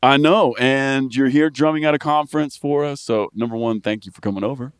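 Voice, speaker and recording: A man's voice: mid-range voice, fairly quick, clear, resonant tone, dry recording, studio quality. An American man hosting a podcast, asking questions in an upbeat, casual way. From United States. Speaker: podcast host. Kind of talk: casual interview.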